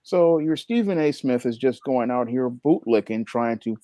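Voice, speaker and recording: A man with a dark, relaxed tone and middling pitch comments as a panel guest. His wording is blunt and scathing, and the sound is close and dry. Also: moderate pace, dry recording. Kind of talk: opinionated commentary.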